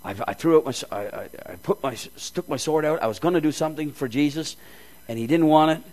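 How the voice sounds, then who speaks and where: A man's voice: fairly high pitch, quick pace, a little mellow, lightly acted. Guy doing a panicky fisherman disciple, stammery, talking to himself. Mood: flustered. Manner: stammering, panicky self-talk. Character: flustered impulsive fisherman disciple, grown man.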